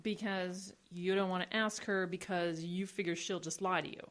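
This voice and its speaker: A woman's voice: fairly low pitch, fairly even intonation, moderate pace, lightly acted. An actress playing a curious young woman in an audio drama, blunt and inquisitive. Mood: earnest.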